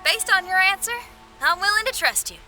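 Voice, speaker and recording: Breezy self-assured young rocker girl in a game, high voice, music behind. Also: lively intonation, fairly quick, bright, forceful tone, heavily acted. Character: confident free-spirited young female musician. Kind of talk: video game voice acting.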